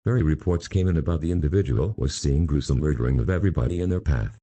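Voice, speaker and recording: A man's voice: extremely low pitch, fast talker, mellow, husky, no room sound. A man reading a scripted emergency warning in a formal, impersonal manner. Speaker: emergency alert announcer. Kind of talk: scripted emergency broadcast announcement.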